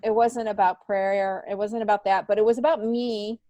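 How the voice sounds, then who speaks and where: A woman's voice: medium pitch, steady tone, moderate pace, mellow, no echo, lo-fi sound. Middle-aged woman, dry recording, casual podcast guest, candid, emphatic, conversational storytelling. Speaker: podcast guest, stand-up comedian. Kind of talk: casual conversational interview, personal anecdotes.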